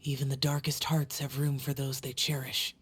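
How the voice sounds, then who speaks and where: A woman voicing a solemn, aloof young male guardian spirit in a video game, speaking in a measured, formal way. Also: extremely low pitch, level tone, breathy edge.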